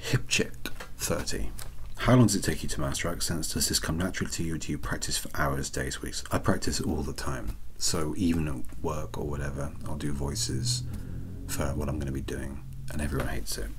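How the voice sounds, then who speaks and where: A soft-spoken man, reading out questions and answering them in a wry, self-deprecating way. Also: very deep voice, fairly even intonation, brisk, slight background noise. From Wales. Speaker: ASMR channel host.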